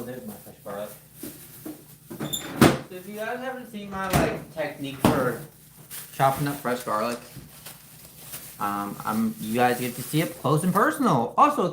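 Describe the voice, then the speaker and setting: A man from Ukraine live-streaming from his home kitchen on a phone, chatting casually with viewers and explaining each cooking step as he goes. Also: medium pitch. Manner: chatty, greets viewers and explains steps while chopping. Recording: roomy kitchen with background noise.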